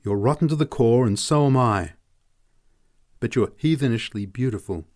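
Man voicing a young lover, anguished, passionate, pleading, loud outbursts. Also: medium pitch, expressive, normal speed, voice pushed up, natural voice. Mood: scornful. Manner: pleading, breaking into raised outbursts.

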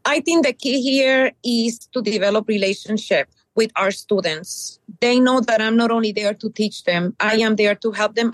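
A middle-aged woman from Puerto Rico, a bilingual middle school teacher, answering interview questions earnestly with many pauses and ums. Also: medium pitch, no echo, low-quality recording.

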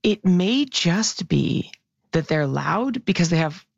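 A woman explains bird research on the radio with real enthusiasm, her voice gravelly and naturally loud. The sound is dry and a little muffled. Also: lowish voice, slow pace, no echo. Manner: lively, animated explainer, self-described loud voice. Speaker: science columnist. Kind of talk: radio science segment chat.

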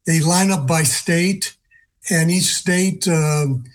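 A man in his early seventies with a somewhat high voice for a male speaker. He talks conversationally as an interview guest, in a halting way with frequent hesitations. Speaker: interview guest, senior games athlete.

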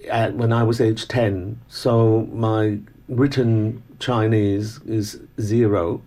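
An older man with an educated Hong Kong English accent, a Cantonese speaker, reminiscing as a guest in a relaxed interview with frequent restarts and small laughs. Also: on the low side, takes it slowly. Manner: thoughtful, with many restarts and soft laughs.

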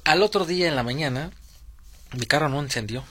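Higher-voiced Mexico City man, radio reporter. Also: animated, brisk, a bit bright, breathy edge, dry recording. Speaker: radio traffic and weather reporter. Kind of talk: informal radio report and banter. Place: radio studio. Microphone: studio microphone.

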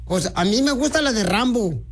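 A man's voice: high voice, expressive, normal speed, crowd noise. A man making a prank phone call, teasing and playing dumb with silly answers. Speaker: prank caller.

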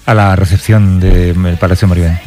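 A man's voice: sits low, level tone, moderate pace, a little mellow, soft background music. A man with a Spanish accent from Spain hosting a satirical radio show in a conversational style. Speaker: radio host.

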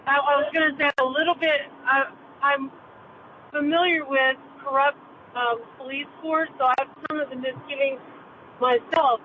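A woman's voice: high-pitched, steady tone, normal speed. A woman calling into a radio show, speaking emphatically and insistently. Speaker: caller. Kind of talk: phone-in commentary. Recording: tinny cutting-out phone line with faint noise.

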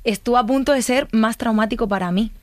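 Brief: woman psychologist guest, lively storyteller, dry studio sound. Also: a little high, fast talker, dry recording. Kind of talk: casual chat storytelling.

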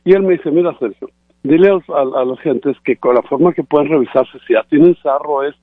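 A man's voice: on the high side, brisk, husky, dry recording. A man calling in to a radio show, explaining dental care in a casual, repetitive way. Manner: explanatory, repeats points. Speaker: regular guest presenting sponsor. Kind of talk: casual radio chat, promotional. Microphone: phone call. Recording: tinny phone line.

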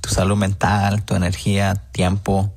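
A man's voice: low voice, completely flat delivery, normal speed, a little mellow. A man sending an audio message to a radio show, casually telling a joke.

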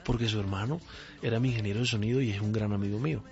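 A man's voice: fairly low pitch, fairly even intonation, rapid-fire, a crowd in the background. A man with a Caribbean coastal Spanish accent, a guest singer in a radio interview, upbeat and reflective, laughing easily. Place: radio studio. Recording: slightly muffled radio studio with faint music.

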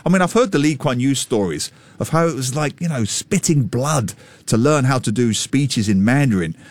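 A man hosting a lively radio talk show in the studio, energetic and teasing. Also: mid-range voice, moderate pace, no echo.

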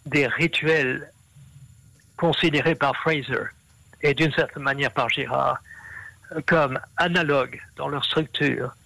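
A man's voice: fairly high pitch, steady tone, measured pace, creaky, long pauses, sharp tone, no echo, low-quality recording. A man explaining ideas at length in an interview, with many fillers and restarts. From half Brazilian. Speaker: interview guest, scholar.

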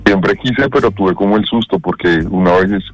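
A man's voice: low voice, normal speed. A man in his thirties from Bogotá, calling into a talk show by phone, modest and a bit hesitant. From Bogotá, Colombia. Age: 30s. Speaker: radio show caller. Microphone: phone call.

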